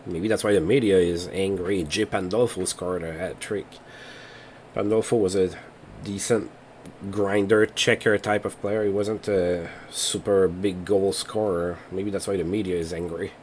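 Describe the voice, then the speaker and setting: A man's voice: low-pitched, steady tone, unhurried, darkish tone, a little hiss or hum. A man, not a native English speaker, hosting a let's play and talking casually through game results as he thinks aloud. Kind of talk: casual gameplay commentary.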